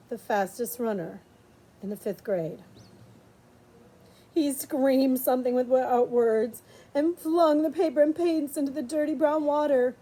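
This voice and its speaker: Female story narrator, high mellow voice, dramatic and emotive delivery, lingering pauses. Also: rough recording. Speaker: audiobook reader, librarian.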